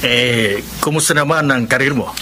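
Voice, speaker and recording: Actor as bright-voiced teasing father. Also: mid-range voice, normal speed, natural voice. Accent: Filipino. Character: teasing middle-aged father of a grown son. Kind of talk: Filipino radio drama acting. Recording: faintly noisy radio drama ambience.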